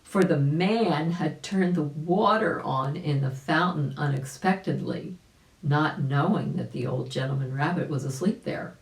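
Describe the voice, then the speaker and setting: A woman's voice: low-pitched, measured pace, creaky. A woman narrating a children's story, explaining things and speaking directly to young listeners. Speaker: volunteer storybook reader. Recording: echoey room.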